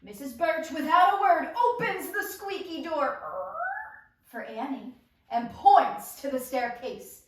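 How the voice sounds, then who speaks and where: Slow high-voiced woman narrator, very echoey room. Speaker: narrator and table read leader. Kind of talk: reading stage directions and character list aloud. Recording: very echoey room, distant mic.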